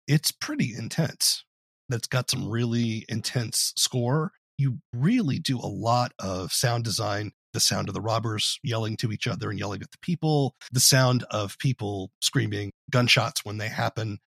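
A man's voice: lowish voice, normal speed, creaky, a bit bright, husky, dry recording. A man hosting an interview, conversationally asking questions and commenting. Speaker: interview host.

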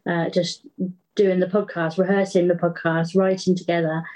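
A woman's voice: fairly low pitch, flat delivery, moderate pace, dark tone, poor audio. A woman answering casually as an interview guest who co-writes an audio drama.

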